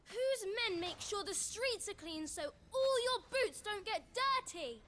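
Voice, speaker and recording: A woman's voice: very high-pitched, lively intonation, a little slow, sharp tone, slight background noise, heavily acted. A woman playing a loyal, indignant small child in a video game, pleading and accusing with earnest feeling. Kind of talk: dramatic video game dialogue.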